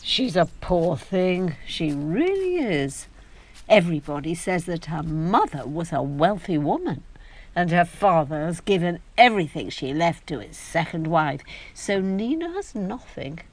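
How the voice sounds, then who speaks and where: A grand mature lady in a radio play. Her low voice swoops dramatically, sounding bossy yet affectionate. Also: big pitch swings, measured pace. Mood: pitying. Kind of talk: theatrical audio drama acting.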